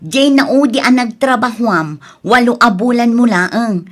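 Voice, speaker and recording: A woman's voice: on the high side, unhurried. A woman with an Ilocano accent playing an exasperated, overburdened daughter and elder sister in an audio drama. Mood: exasperated scolding. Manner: exasperated, reproachful. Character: overburdened, frustrated dutiful daughter and elder sister, about thirty. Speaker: drama actress.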